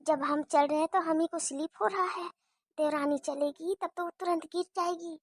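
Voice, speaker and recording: A sly, sharp-tongued daughter-in-law, played by a woman, sugary to her mother-in-law's face and plotting in hushed mutters behind her back. Her voice is very high and bright, recorded dry and close in a homemade family skit. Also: moderate pace, no room sound.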